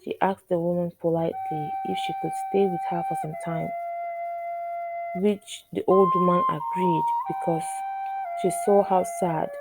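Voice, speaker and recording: A woman storyteller reading a scripted folktale in measured, separate phrases. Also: fairly low pitch, very animated, poor audio. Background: flute music.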